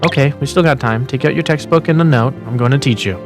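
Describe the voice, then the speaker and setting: A man voicing a studious, modest teenage boy in a manga dub, calm, earnest and self-deprecating. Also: medium pitch, fast, music in the background, natural voice. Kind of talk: fan manga dub with narration.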